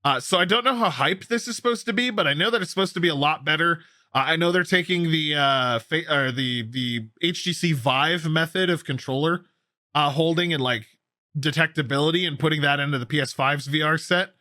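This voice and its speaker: A man's voice: on the high side, a little fast, bright, forceful tone, no echo, clean studio sound. A man hosting a gaming news show, commenting casually and enthusiastically on stories with a co-host. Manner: enthusiastic, opinionated, conversational.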